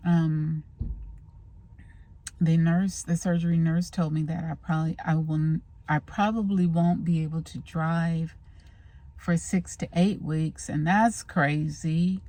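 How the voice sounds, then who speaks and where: A woman's voice: fairly low pitch, warm tone. A woman vlogger chatting casually to the camera in a rambling, scattered way, recorded on a handheld phone.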